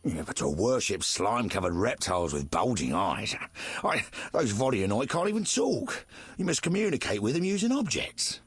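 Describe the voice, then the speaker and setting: An actor voicing a scornful, dismissive local man in a game, with a husky voice and a sneering, mocking tone. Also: fairly low pitch, sing-song, normal speed, no echo. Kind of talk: game dialogue.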